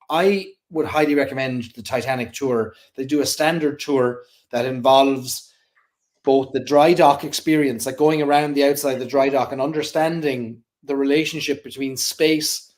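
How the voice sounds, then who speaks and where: A man co-hosting a casual whiskey talk show, chatty and teasing. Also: mid-range voice. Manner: chatty and jokey, teasing guests. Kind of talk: casual chat.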